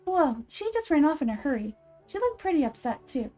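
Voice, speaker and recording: A woman voicing a small, excitable female companion from a video game, exclamatory and speaking of herself in the third person. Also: high voice, fairly quick, faint music behind. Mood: amazed. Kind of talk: video game character voice acting.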